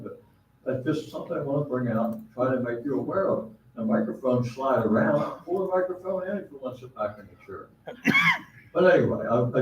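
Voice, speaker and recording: A man's voice: medium pitch, noisy background. Blunt man complaining in an echoey hall. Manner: blunt, complaining, plain-spoken with hesitant fillers. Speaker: resident speaking at public comment. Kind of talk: public comment at a council meeting. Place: echoey council chamber. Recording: dull, echoey hall from far off.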